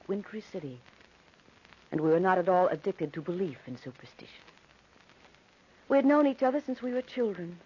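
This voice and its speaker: An actress playing an affectionate young bride-to-be, speaking in an earnest old-time radio style. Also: female voice, mid-range voice, a little mellow, slightly rough. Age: young adult. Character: affectionate young bride-to-be telling an eerie tale. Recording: dull old radio broadcast.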